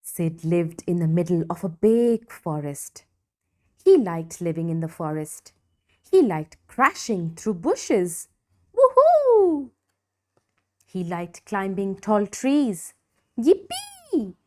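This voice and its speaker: Slow, lively woman reading a children's story. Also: lowish voice. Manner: deliberate, dramatic emphasis for young listeners. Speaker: storybook reader. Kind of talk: expressive children's story narration.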